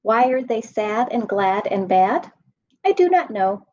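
A woman in her thirties reads a children's story aloud in an expressive, deliberate sing-song, indoors on a webcam microphone. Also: on the high side. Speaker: school staff nutritional specialist reading a children's storybook. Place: indoor room at home. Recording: dull lo-fi webcam sound.

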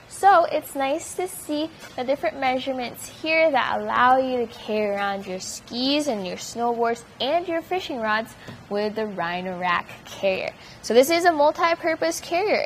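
A young woman walks through a product demonstration in an upbeat, explanatory way, her voice sitting a little high. She handles the gear as she talks in a large, bright indoor studio, picked up by the camera mic. A faint hum of room ambience sits underneath. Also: poor audio. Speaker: product reviewer.

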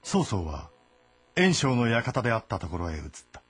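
A man giving measured, solemn scripted narration of a historical tale. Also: medium pitch, big pitch swings. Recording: narration over background music.

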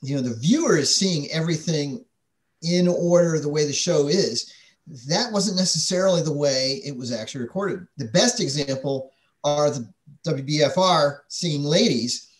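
A man's voice: a little high, moderate pace, poor audio. An older man explaining things plainly in a panel talk over a video call. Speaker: theater panelist. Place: indoors at home, on a video call. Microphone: computer mic on a video call.

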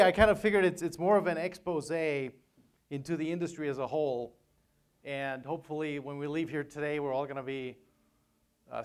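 A man's voice: fairly high pitch, clean tone. A man in his forties from Austria, giving an informal, joking conference talk in a conversational way. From Vienna, Austria. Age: 40s. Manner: conversational, joking, often tags sentences with "right?". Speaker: seminar speaker. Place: hotel meeting room, on stage at a lectern. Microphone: lapel mic.

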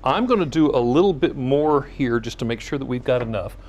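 A man co-hosting a local TV morning show, energetic and jokey, bantering while he helps cook on a studio kitchen set. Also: medium pitch, a little fast, a little hiss or hum. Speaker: TV show co-host.

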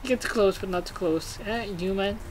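An excitable gaming host giving casual commentary, exclaiming and swearing while reading game text aloud. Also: mid-range voice, brisk, background noise.

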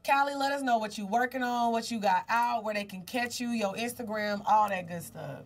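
A young woman co-hosting a podcast in a small studio, a little hoarse, talking loudly and playfully with plenty of swearing. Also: on the high side, a little fast. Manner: loud and playful, swears freely. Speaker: podcast co-host. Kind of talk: casual chat.

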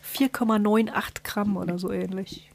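A woman's voice: medium pitch, steady tone, moderate pace, creaky. A woman co-hosting a podcast, explaining things in an informal, conversational way. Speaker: podcast co-host. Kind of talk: casual podcast chat, explaining.

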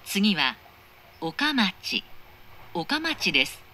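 A woman makes formal recorded train announcements. Her delivery is slow and carefully articulated, with a bright tone. Faint carriage noise runs behind her. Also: medium pitch, sing-song. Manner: formal, measured, precise enunciation. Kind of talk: scripted automated station announcement. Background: moving train interior noise.

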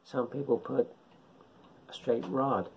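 A middle-aged man giving an informal tutorial in short, halting phrases, speaking at home into a camera microphone. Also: medium pitch, fairly even intonation, lo-fi sound, slight background noise. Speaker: amateur radio hobbyist presenter. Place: indoors, living room at home.

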